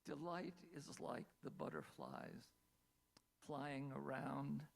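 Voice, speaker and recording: A man from Pennsylvania presents a storytelling talk over a video call, lingering on single words and slipping in playful asides. His delivery is unhurried, and his voice has a gravelly, creaky texture. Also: medium pitch, big pitch swings. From Pennsylvania, USA.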